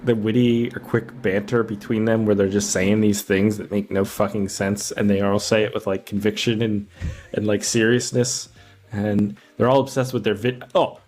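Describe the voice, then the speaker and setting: A man's voice: lowish voice, level tone, moderate pace, a little mellow. A man co-hosting a podcast, chatting casually about movies in an informal, amused way with some swearing. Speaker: podcast co-host. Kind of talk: casual movie review chat. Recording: music playing behind the voice. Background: background music.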